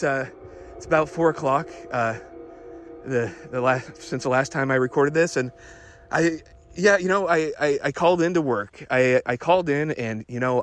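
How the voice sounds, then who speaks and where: An actor playing an obsessed, increasingly unhinged car tinkerer recording a rambling, halting audio diary for an audio drama. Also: male voice, fairly high pitch, close to an everyday voice. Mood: anxious, driven. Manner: halting, nervous, repeating himself, muttering fixations. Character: obsessed man secretly lowering his car, increasingly unhinged.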